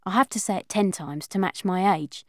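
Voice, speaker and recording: A woman's voice: medium pitch, moderate pace, dry recording, studio quality. A woman narrating a children's audiobook in the first person as a chatty, confiding young girl. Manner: chatty, confiding, lively schoolgirl voice.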